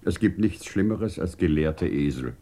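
An actor playing an urbane, teasing scientific director in a radio play, whimsical and courteous, with elaborately worded, theatrical speech. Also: male voice, low voice, expressive, moderate pace, mellow. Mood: courtly, bantering. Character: urbane, teasing male scientific director of a desert research station. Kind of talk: German radio play acting.

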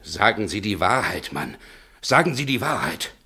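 Male, low register: grave unwilling murderer in vintage radio drama, solemn and weighty. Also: big pitch swings, normal speed, sharp tone, exaggerated voice. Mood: urgent pleading. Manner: solemn, weighty short statements. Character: Pedro, grave man turned unwilling murderer, despairing. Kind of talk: 1960s German radio play acting.

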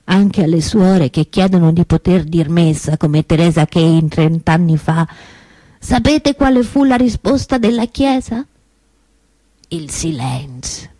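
A solemn, mellow-voiced preacher. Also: female voice, fairly low pitch, animated, dry recording. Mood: grave, indignant. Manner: solemn, deliberate, rhetorical pauses and repetitions. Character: outspoken, indignant preacher at Mass challenging the Church. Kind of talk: theatrical radio monologue in the form of a homily.

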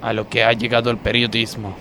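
A man's voice: on the low side, little pitch movement, a little fast, very noisy, lightly acted. A man with an Argentine accent playing a dim, tactless factory worker in a comedy radio sketch. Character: dim, blundering, blurting male factory worker.

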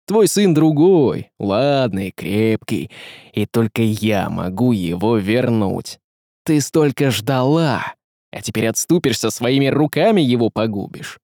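Guy doing a pushy evil wizard from a fairy tale, commanding, old-timey wording, swingy pitch. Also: expressive, a little slow, a little mellow, no room sound, studio quality. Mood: urgent, persuasive. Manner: exclaiming, insistent, archaic phrasing. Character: scheming, commanding male sorcerer, persuasive and angry.

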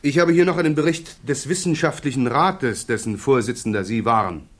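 A man's voice: mid-range voice, fairly quick, natural voice. An actor playing an insistent male prosecutor in a radio play, pressing, precise and formal. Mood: coolly insistent. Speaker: interrogating counsel. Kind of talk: German radio play acting.

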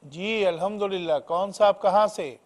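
A middle-aged male hakeem hosts a call-in health show. His voice sits high for a man, and he fires off short routine questions in a brisk way. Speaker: hakeem host answering callers.

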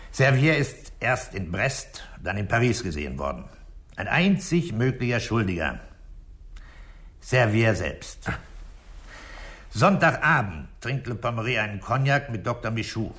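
An actor playing a methodical, dryly impatient police commissioner in a 1960s German radio crime drama, speaking in a measured, authoritative way. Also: male voice, lowish voice, a bit bright. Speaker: police commissioner leading an investigation.

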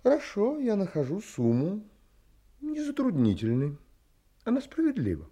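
An actor playing an earnest, determined young gentleman in a dramatised audiobook, with expressive, literary delivery. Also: sits high, moderate pace, slightly rough, exaggerated voice. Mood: reflective narration. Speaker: actor narrating and playing the lead.

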